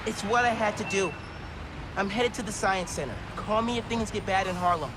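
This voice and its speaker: A man's voice: sits high, moderate pace, background noise, lightly acted. An actor playing an earnest, determined teenage boy superhero in a video game. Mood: urgent. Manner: earnest, determined, talks to himself while working. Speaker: game character. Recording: game soundtrack music behind.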